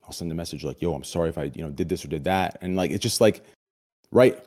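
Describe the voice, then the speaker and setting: A deep-voiced man chatting candidly as an interview guest, excitable and blunt, swearing casually and often checking that he is understood. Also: fast. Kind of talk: casual confessional chat.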